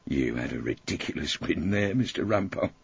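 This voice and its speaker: A man voicing a gloomy, grudging grey-haired police inspector who talks in a slow, stolid grumble. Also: deep voice, expressive, creaky, breathy edge, no room sound, highs cut off, heavily acted. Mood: bitter.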